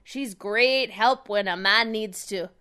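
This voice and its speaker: A woman's voice: medium pitch, takes it slowly, pushed and bright, smooth. A woman voicing a meek, rambling Canadian man who knits and loves hockey, apologizing often and tagging phrases with "eh". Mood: apologetic, fading.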